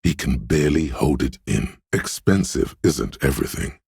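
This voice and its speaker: A man playing a smug, scheming, money-hungry inner voice in a video game, coaxing like a salesman in a deep, gravelly, husky voice. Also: expressive, moderate pace, a little mellow, no echo, studio quality, exaggerated voice. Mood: snide, envious. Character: slick money-hungry hustler inner voice, male, scheming and snobbish. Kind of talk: scripted game voice-over, inner-voice advice.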